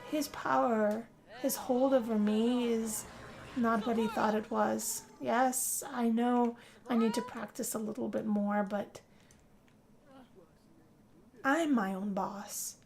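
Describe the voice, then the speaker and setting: Female actor as bitter fledgling vampire woman, proud, dramatic, unhurried, slightly high, first-person machinima narration. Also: steady tone, creaky, a little mellow. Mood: defiant, scheming. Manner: dramatic, deliberate.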